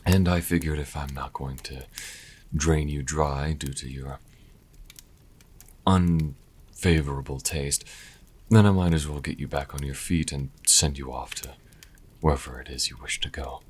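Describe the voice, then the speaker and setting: A man playing a proud, wry vampire healer in an intimate audio roleplay, speaking to the listener with haughty, deliberate pauses. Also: fairly even intonation, full character voice. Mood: wry, grudging. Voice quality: very deep, husky, breathy at times. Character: sassy male vampire healer, proud, wry, grudgingly charitable.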